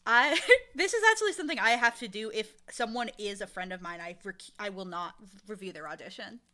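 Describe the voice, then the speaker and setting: A woman being interviewed, talking in a casual, informal and animated way about her work. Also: medium pitch, a little fast. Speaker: interviewee, audio drama showrunner and voice actor.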